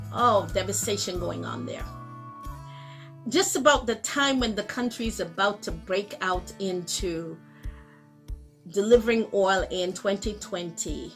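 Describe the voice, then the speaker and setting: A middle-aged woman from Guyana hosting her own show, speaking earnestly to viewers with a lozenge in her mouth and a sore throat starting. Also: on the low side. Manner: earnest, urging, conversational. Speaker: show host. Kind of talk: direct-to-camera talk.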